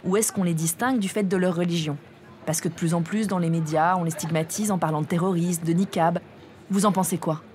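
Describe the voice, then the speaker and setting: A woman reporter narrating and interviewing people at an outdoor event, calm and clear. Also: fairly low pitch, fairly quick, slight background noise. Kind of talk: documentary narration and street interviews.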